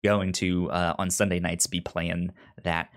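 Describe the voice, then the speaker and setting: Halting, casual male podcast host. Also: sits low, little pitch movement. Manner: halting, repeats and stumbles over words.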